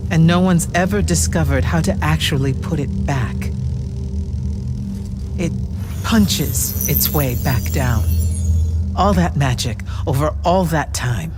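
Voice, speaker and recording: A world-weary mentor in a fantasy game. His voice sits a little high for a man and moves slowly, weighing each point with grave cynicism, over a musical score. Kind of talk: dramatic video game acting.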